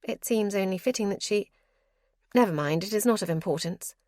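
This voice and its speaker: A woman's voice: medium pitch, a little fast, darkish tone, dry recording, clean studio sound, lightly acted. A woman voicing an earnest young noble wife who speaks in an eager, insistent way.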